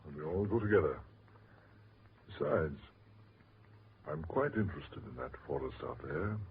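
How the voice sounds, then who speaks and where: Very deep-voiced, animated English gentleman. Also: moderate pace, slightly rough, full character voice. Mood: earnest. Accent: British. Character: genteel, credulous Englishman fascinated by eerie legends. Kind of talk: 1940s radio drama acting. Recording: noisy, tinny 1940s radio recording.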